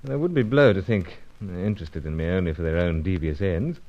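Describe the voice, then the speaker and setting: Deep-voiced, jaunty British gentleman spy. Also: brisk. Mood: breezy. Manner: jaunty old-fashioned upper-class slang, clipped phrases. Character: dashing, breezy, gallant British gentleman secret agent. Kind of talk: old-time radio serial acting. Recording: dull, lo-fi radio serial recording.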